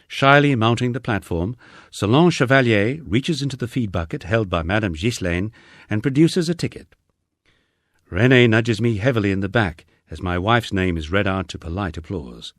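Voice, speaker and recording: A man with a low, very animated voice narrates a humorous memoir. He reads as an audiobook storyteller with a wry touch. The sound is dry and close, with no room around it. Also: no echo.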